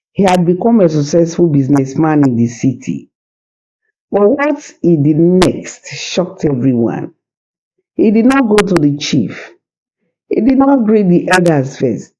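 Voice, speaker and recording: A storyteller narrating a folktale in a slow, deliberate, suspenseful and dramatic way. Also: mid-range voice, dark tone, slightly rough, dull audio. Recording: hissy background noise.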